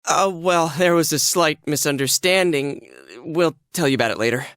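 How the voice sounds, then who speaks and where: A man voicing an excitable, earnest teenage boy in a video game dub, with a slangy, blurting way of talking. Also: fairly high pitch, normal speed, clear, resonant tone, dry recording, close to an everyday voice. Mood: anxious, confiding.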